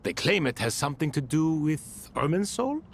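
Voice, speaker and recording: World-weary, expressive ancient figure. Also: male voice, fairly high pitch, moderate pace, breathy edge, exaggerated voice. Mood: wry, attentive. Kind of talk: video game dialogue. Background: background music.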